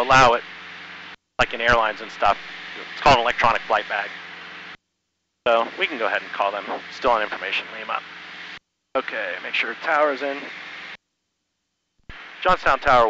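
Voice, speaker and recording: American pilot, sharp muffled headset sound. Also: male voice, mid-range voice. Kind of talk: casual explaining and radio calls while flying. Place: small propeller plane cockpit in flight. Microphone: aviation headset mic. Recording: muffled headset intercom, bright, aircraft engine noise.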